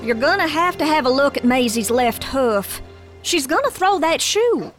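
An actress playing a plainspoken, sociable pioneer wife from 1880s Arkansas in a radio drama, with a folksy Southern American accent. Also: female voice, sits high, sing-song, moderate pace, faint music behind. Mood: watchful. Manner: folksy, forthright.

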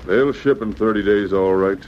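A man's voice: fairly low pitch, normal speed, close to an everyday voice. Man acting a steadfast Mountie sergeant, old muffled radio. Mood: firm, resolute. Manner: firm, authoritative. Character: steadfast, commanding male Mountie sergeant hero. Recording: dull old radio recording, faint noise.

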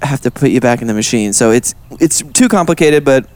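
A man hosting an improvised comedy podcast, asking the questions with playful curiosity. His voice is lively and animated in pitch. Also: a little fast. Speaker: podcast host.

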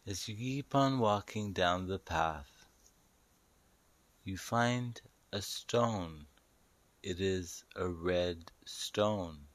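Deep-voiced, slow-speaking male meditation guide. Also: no room sound. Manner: deliberate, short pausing phrases, instructing the listener.

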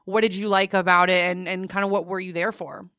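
A woman's voice: lowish voice, little pitch movement, brisk, bright, forceful tone, dry recording, studio quality. A woman in her twenties co-hosting a podcast, chatty and jokey in casual talk. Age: 20s. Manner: chatty, jokey, laughs often. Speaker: podcast co-host. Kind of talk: casual chat.

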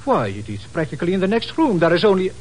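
High-voiced, earnest colonel. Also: male voice, big pitch swings, fast talker. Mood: formal, earnest. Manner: formal, emphatic. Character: earnest, excitable Portuguese police colonel. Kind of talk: 1950s radio drama acting. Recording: old radio recording with hiss.

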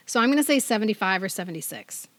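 A woman's voice: mid-range voice, expressive, fast talker, a bit bright, dry recording. An American woman in her fifties co-hosting a podcast, telling stories in a casual, chatty style. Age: 50s. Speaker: podcast co-host. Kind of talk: casual podcast chat and storytelling.

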